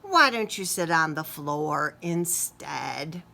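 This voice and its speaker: A patient, kindly hen schoolteacher from a children's book, read by a woman. She chides gently and slowly in a bright voice. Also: deep voice, sing-song, gravelly, pitched below the performer's own voice, exaggerated voice. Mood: gently chiding. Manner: gentle, chiding.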